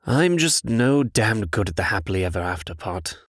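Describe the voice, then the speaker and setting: A man's voice: lowish voice, sing-song, normal speed, husky, no echo, studio quality. A man playing a jaded, worldly womanizing fairy-tale prince with a frank manner, in a comic dub. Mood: rueful, resigned.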